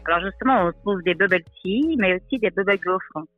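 A woman's voice: mid-range voice, a little fast, dry recording, faint music behind. Brazilian woman, radio guest, hesitant, lo-fi dry sound. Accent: Brazilian Portuguese. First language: Portuguese. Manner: hesitant, many fillers, self-conscious about accent. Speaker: shop owner and association president.

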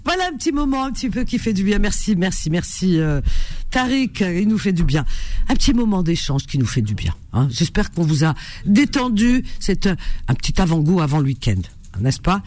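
Animated, expressive woman radio host. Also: lowish voice, fairly quick. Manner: animated and expressive, frequent sympathetic exclamations; laughs often, then turns earnest. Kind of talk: casual call-in radio chat.

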